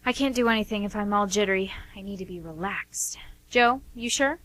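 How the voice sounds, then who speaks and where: A woman's voice: medium pitch, fairly quick, a bit bright, no echo, lightly acted, low-quality recording. A woman playing a caring, easily offended sister, earnest and reproachful, in an amateur radio play. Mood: concerned, reproachful.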